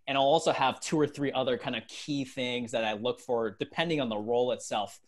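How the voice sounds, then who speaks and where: A man's voice: medium pitch, fairly even intonation, fairly quick. A man in his thirties hosting a conversational business interview over a video call on earbuds. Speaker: interviewer and host. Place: small bright room at home, on a video call. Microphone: wired earbuds on a video call.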